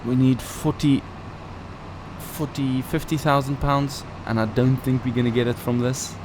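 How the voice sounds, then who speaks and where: A man's voice: medium pitch, level tone, a little slow, background noise. A man who is not a native English speaker gives casual gameplay commentary as a let's play host, thinking aloud with playful asides.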